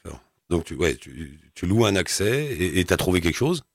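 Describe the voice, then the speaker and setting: A man hosting a radio show, asking relaxed, chatty questions at a studio mic. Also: deep voice, normal speed, dry recording. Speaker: radio host. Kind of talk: radio interview.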